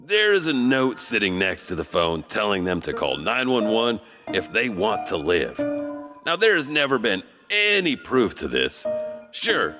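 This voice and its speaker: A man hosting a podcast, telling spooky tales in a theatrical, folksy, conversational way. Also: on the low side. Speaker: podcast host. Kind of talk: spooky storytelling narration. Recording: long reverb, music underneath. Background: music.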